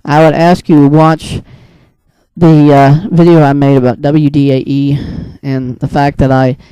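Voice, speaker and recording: A man casually telling an unscripted story to viewers as a video creator, rambling and often halting mid-thought. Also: a little high, level tone, dark tone, clean tone, no echo, rough recording. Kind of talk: casual unscripted monologue recounting a dream.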